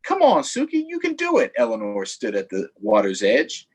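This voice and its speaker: A man's voice: medium pitch, wildly swooping pitch, moderate pace, husky. A man hosting a children's story time, reading aloud in a playful, sing-song way with chatty asides to viewers.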